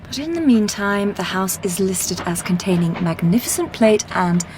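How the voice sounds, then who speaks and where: An actress playing a shrewd, composed Victorian lady detective in a radio drama, measured and dryly probing. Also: mid-range voice, expressive, a little mellow, lightly acted. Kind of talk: period radio drama acting. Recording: clear background noise.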